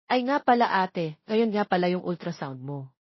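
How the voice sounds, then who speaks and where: A playful younger sister acted by a female narrator teases and chatters in a husky voice, as in an audio romance. The recording is dry and a little dull. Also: fairly low pitch, very animated, moderate pace, dry recording. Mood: chatty. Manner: chatty, teasing. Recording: dull lo-fi, close dry sound.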